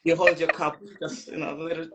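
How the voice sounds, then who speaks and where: A man's voice: a little high, level tone, a little fast, background noise. A man hosting a casual online chat, speaking informally with playful, teasing banter. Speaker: host of an online panel chat.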